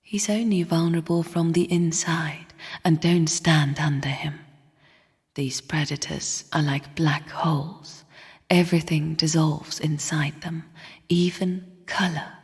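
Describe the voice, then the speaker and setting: A woman voicing a somber, bitter sister spirit in a video game, speaking in a slow, solemn, cryptic half-whisper with a breathy tone. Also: low-pitched, fairly even intonation, a little mellow, heavily acted. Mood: warning. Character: somber, bitter sister spirit of the void, guarding her chambers. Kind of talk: video game character voice lines. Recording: long cavernous reverb.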